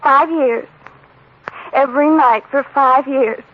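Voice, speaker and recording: A woman's voice: high voice, slow. An actress playing a distraught, jealous murderess in 1940s radio style, intense and insistent, repeating her phrases. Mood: cold resolve. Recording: dull old radio broadcast recording.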